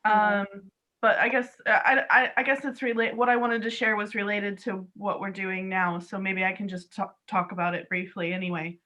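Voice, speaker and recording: A woman with a bright, sharp voice speaks on a video call. She sounds tentative and reflective, with some stumbling as she chooses her words. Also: medium pitch, little pitch movement, moderate pace. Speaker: meeting participant. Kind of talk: online meeting talk.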